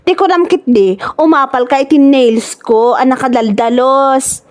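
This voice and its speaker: Woman acting a vain, high-pitched village woman. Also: unhurried. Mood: taunting. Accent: Filipino, Ilocano. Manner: animated, scornful, exclaiming. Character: vain, boastful village woman, quick to mock. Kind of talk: comedy radio drama acting.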